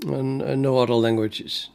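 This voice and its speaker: Dutch-accented man, native Dutch speaker, rambling casual coding-stream chat, halting and full of restarts, mid-range voice. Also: medium pitch, level tone, gravelly, darkish tone. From Netherlands. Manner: thinking aloud, many fillers and restarts. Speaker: programmer streaming his coding.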